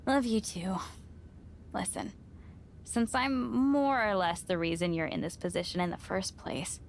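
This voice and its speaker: An actress playing a teasing, caring wrestling promoter in a video game cutscene. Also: female voice, medium pitch, fairly even intonation, measured pace, vocal fry, slight background noise. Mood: playful, reassuring. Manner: teasing, sighs and chuckles.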